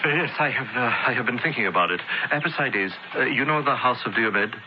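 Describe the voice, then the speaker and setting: A man's voice: mid-range voice, expressive, a little fast, lightly acted. An actor playing a scheming, outwardly solicitous holy man in an old-time radio drama. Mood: guarded, scheming. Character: smooth scheming Egyptian temple priest, outwardly solicitous. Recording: very noisy muffled old radio recording.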